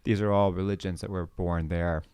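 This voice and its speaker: A man's voice: low voice, fairly even intonation, moderate pace, a little mellow, clean tone, dry recording. A middle-aged man from Canada, a podcast guest talking reflectively with frequent restarts and hesitations. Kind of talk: conversational interview.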